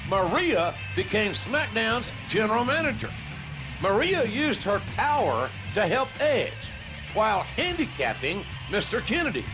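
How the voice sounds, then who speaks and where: A hyped shouting ring commentator. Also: male voice, high voice, heavily acted. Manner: hyped, shouting announcer delivery. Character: excited male wrestling play-by-play commentator. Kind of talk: wrestling commentary. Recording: game audio with music underneath.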